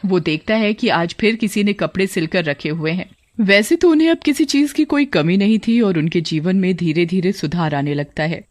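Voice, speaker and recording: A woman's voice: lowish voice, moderate pace, darkish tone, dry recording. Woman narrating a story, dry close sound. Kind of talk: scripted cartoon story narration.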